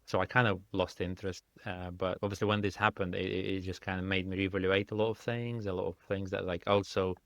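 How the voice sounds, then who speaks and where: A man from Russia whose first language is Russian, speaking English in a thoughtful, halting way with many restarts as an indie game developer guest. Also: low-pitched, flat delivery, a bit bright, no echo. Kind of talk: casual interview conversation. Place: lives in the UK.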